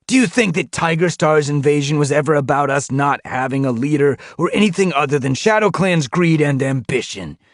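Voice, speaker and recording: A man voicing a fierce young male cat leader who yells and snarls harsh commands at his warriors in an audiobook. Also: on the high side, brisk, hardly any pauses, no echo, studio quality, voice pushed up, lightly acted. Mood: snarling.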